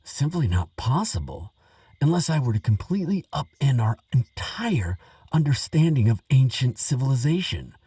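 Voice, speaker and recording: A man playing an earnest, excitable archaeologist who talks gently to a friend, stammering and musing with wonder, in a relaxing audio roleplay. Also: medium pitch, moderate pace, breathy edge, dry recording, distant mic. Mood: eager, fascinated. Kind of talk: relaxing scripted audio roleplay, spoken to the listener.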